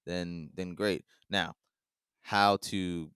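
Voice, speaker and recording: A man's voice: sits low, flat delivery, extremely slow, a bit bright, clear, resonant tone, dry recording, studio quality. A man hosting a podcast, chatting casually and rambling through his questions. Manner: rambling, full of "like" and restarts. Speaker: podcast host.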